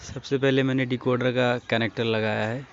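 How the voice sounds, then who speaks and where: Flat-toned Indian man, lo-fi recording. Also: medium pitch, moderate pace. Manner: informal, instructional, addressing viewers directly. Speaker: printer repair technician giving a tutorial. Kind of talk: casual hands-on tutorial talk. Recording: dull lo-fi recording with faint noise.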